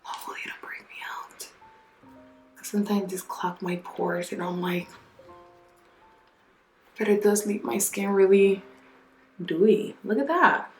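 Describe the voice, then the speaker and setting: A young woman talks through skincare products to the camera in a casual, candid way, with lively asides. Her voice sits at a middle pitch and rings in a bright, echoey bathroom. Soft music plays faintly underneath. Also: unhurried, pauses often. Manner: chatty, candid, animated asides. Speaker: beauty vlogger. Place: home bathroom, indoors.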